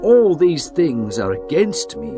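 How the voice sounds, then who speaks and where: A man's voice: fairly high pitch, very animated, takes it slowly, gravelly, exaggerated voice. Jacob, a man voicing a grieving old father. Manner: sorrowful, lamenting. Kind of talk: dramatized scripture reading. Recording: music bed behind voice.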